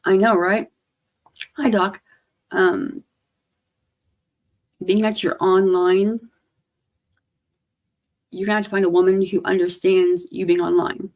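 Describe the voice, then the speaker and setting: A hoarse woman with a gravelly edge, talking at a middling pitch. She rambles casually to her stream audience, letting her sentences trail off softly. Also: flat delivery, slow, pauses often. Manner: rambling, trailing off, soft-spoken. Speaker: livestreamer. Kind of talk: casual livestream chat.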